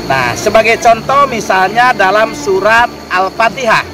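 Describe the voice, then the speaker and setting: A man giving an explanatory religious lecture in a measured, didactic way, phrase by phrase. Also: high-pitched, expressive. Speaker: lecturer on Quranic studies. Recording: distant mic with music behind. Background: clear background music.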